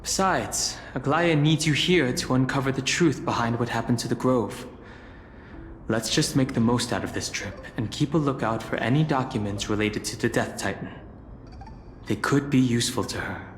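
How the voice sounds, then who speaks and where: An actor playing a calm, analytical young traveler in a fantasy video game, speaking in a measured, contemplative way. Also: male voice, medium pitch, a little hiss or hum, close to an everyday voice. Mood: reassuring. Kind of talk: English-voiced fantasy game dialogue and monologue. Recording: echoey reverb, music underneath.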